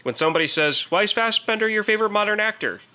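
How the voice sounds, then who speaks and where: A man's voice: sits high, expressive, fast talker, bright, forceful tone, clean tone. A man co-hosting a podcast and casually discussing films, emphatic and opinionated, often repeating words as he works toward his point. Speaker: podcast co-host.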